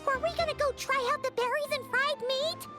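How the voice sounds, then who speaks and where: Tiny, very squeaky childlike fairy companion. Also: female voice, steady tone, fairly quick, pushed and bright, soft background music. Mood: excited. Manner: exclamatory, chirpy, speaks of herself in third person. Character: small excitable childlike female fairy companion, chatty, food-loving. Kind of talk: video game character acting.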